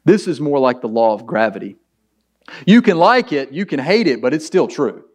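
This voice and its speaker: A hoarse man with a raspy, deep voice, preaching a conversational and earnest sermon as pastor of his church. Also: sing-song, normal speed, a little mellow. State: hoarse from preaching late the night before.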